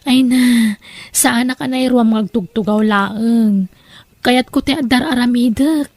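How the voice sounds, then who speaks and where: A woman's voice: on the high side, unhurried, a little mellow, dry recording. A woman playing a long-suffering young wife, affectionate but protesting, in an Ilocano audio drama with a Filipino Ilocano accent. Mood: gentle, protesting.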